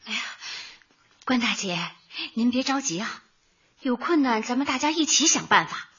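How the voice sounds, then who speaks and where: A woman's voice: fairly high pitch, expressive, moderate pace, fairly bright tone, husky, heavily acted. An actress playing an earnest, cheerful young woman who runs a community office, coaxing tactfully in a light radio comedy. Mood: soothing, concerned. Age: young adult. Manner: eager, coaxing, speechifying in slogans. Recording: dull lo-fi audio with music behind.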